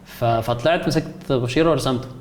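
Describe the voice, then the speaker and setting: Egyptian guy in his 20s, rapid hesitant chat, filler words everywhere. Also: medium pitch. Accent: Egyptian Arabic. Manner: hesitant, many fillers and restarts. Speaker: guest, concept artist. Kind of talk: casual chat.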